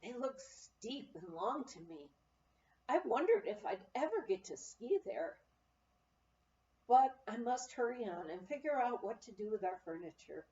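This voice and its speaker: A woman narrating a memoir aloud in an expressive, storytelling manner. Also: a little high, measured pace, recorded from a distance. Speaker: audiobook reader. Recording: muffled, roomy, off-mic amateur recording.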